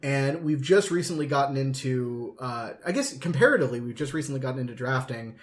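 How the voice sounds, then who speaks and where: A man's voice: medium pitch, animated, a little fast. A man co-hosting a podcast in casual chat, with a jokey, sweary manner. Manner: jokey, sweary, laughs often. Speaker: podcast co-host. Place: indoors in a dining room at home.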